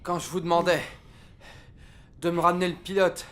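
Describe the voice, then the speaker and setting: A man's voice: high-pitched, level tone, moderate pace, fairly bright tone. A man playing a harried, irritable French army captain in the trenches, barking exasperated orders and swearing in a comic audio war drama. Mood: exasperated, brisk.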